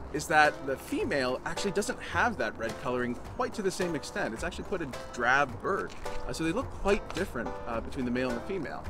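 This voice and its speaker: A man in his thirties giving an informal educational presentation outdoors as a nature guide. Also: fairly high pitch. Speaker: conservation program manager, nature guide. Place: outdoors in snowy woods.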